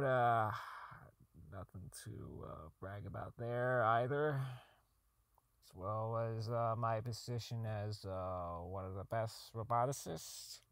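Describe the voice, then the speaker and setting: An actor playing a socially inept, bitter robotics engineer in an audio drama log, rambling, halting, swearing and putting himself down. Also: male voice, on the low side, no room sound. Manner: rambling, halting, profane, self-deprecating. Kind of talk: audio drama monologue as a recorded personal log.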